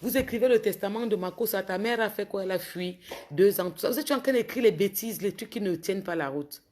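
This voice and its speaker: A woman with a cold, a bit hoarse, talking casually to viewers on a phone livestream and scolding commenters with indignation. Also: medium pitch, fast talker, darkish tone. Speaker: social media livestreamer.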